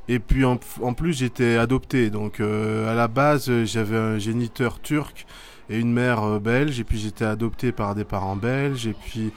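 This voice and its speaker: A man's voice: mid-range voice, steady tone, a little slow, a little hiss or hum. A middle-aged man from Belgium, a theatre artist and teacher, answering interview questions thoughtfully with many hesitations. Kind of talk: school media interview, reflective answers.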